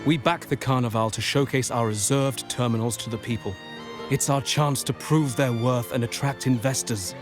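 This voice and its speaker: A man voicing a courteous, formal nobleman from a merchant family in a game cutscene, speaking with smooth persuasion over game music. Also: mid-range voice, moderate pace, natural voice. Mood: earnest.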